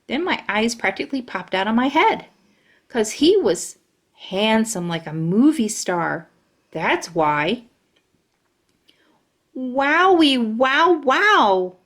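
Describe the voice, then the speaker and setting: A woman voicing a brash, chatty kindergarten girl who tells her own story in childish grammar. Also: mid-range voice. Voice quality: childlike, bossy, ungrammatical storytelling. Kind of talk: audiobook narration.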